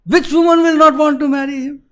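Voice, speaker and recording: A man's voice: sits high, big pitch swings, moderate pace. A man voicing a proud male king of the gods who lectures and warns emphatically. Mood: admonishing.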